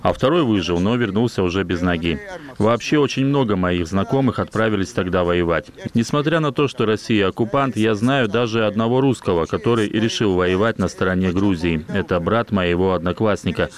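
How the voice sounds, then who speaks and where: A man reading a translated news voice-over in a measured, even way. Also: lowish voice.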